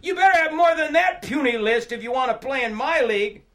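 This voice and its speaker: A man voicing a boastful, good-humored misfit who calls out loud challenges in a story. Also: extremely high pitch, animated, brisk, voice pushed up. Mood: playful challenge.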